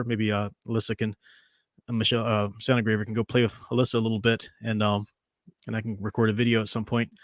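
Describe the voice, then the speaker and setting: A man's voice: mid-range voice, dry recording, studio quality. A man hosting a casual live stream chat, rambling and joking, often breaking off mid-sentence. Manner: rambling, self-interrupting, joking. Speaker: podcast host.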